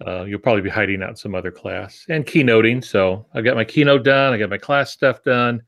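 A man's voice: fairly low pitch, fast talker, creaky, a bit bright. A man in his sixties explaining things informally, with easygoing asides and disclaimers, on a video call from a home office. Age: 60s. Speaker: co-host and writing teacher.

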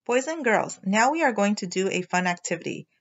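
A woman teacher reading a storybook aloud to children in an expressive, instructive way, on a slightly dull recording. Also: mid-range voice, a little fast. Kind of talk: storybook read-aloud narration.

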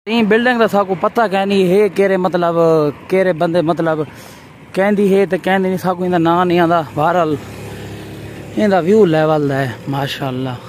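A man's voice: high voice, normal speed. A man with a Pakistani Punjabi accent giving casual, exclaiming commentary as he films a walking tour, with repeated catchphrases. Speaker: vlogger. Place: outdoors on a city roadside while walking. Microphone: handheld phone camera. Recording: very noisy outdoor roadside. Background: traffic and street noise.

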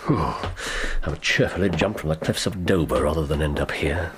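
A sardonic detective. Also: male voice, very deep voice, moderate pace, noisy background. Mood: weary. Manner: dry, clipped, sardonic. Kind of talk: 1990s radio drama acting.

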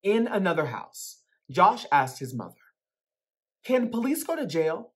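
A woman with a husky voice reading a children's book aloud. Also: on the low side, big pitch swings, a little slow. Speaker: reader. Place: plain room indoors.

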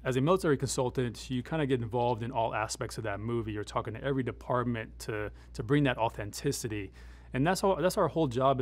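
Middle-aged man, medium pitch, measured, conversational, military veteran explaining his work, studio interview. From United States, born in Afghanistan. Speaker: military advisor and former Green Beret.

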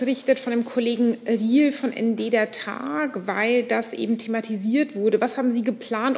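A woman's voice: on the high side, darkish tone. A woman moderating a press conference and relaying questions in a businesslike, direct manner. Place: large indoor press conference room.

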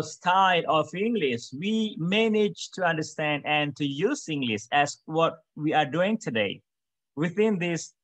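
A middle-aged man giving an academic webinar talk over a video call, measured, with many "for example"s and pauses. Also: a little high, fairly bright tone, dry recording. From Indonesia. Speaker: webinar speaker.

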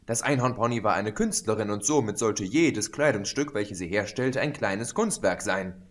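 A man narrating a fan-fiction audiobook in a steady, even reading voice. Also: fairly low pitch, normal speed. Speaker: audiobook reader. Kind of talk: scripted narration.

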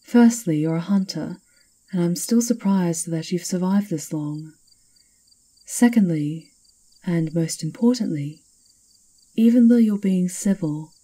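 Castle witch for ASMR fantasy roleplay, female performer: very mellow, breathy, knowing, slyly teasing, conspiratorial. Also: lowish voice, measured pace, long pauses, rough recording. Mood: knowing, confiding. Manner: teasing, confiding, theatrical.

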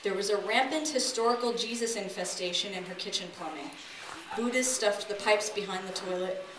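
An echoey, distant recording of a woman reading a comic story aloud on stage. She narrates in a storybook manner at a medium pitch. Faint noise sits behind her in the open pavilion. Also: a little fast. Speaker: author reading own work aloud. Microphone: distant audience camera. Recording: echoey pavilion, distant noisy camera audio.

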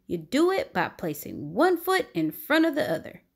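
Woman reading as a grumpy storybook bird, swooping pitch. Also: wildly swooping pitch, moderate pace, gravelly, heavily acted. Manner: exasperated, emphatic. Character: grumpy, snappish male bird in a children's storybook.

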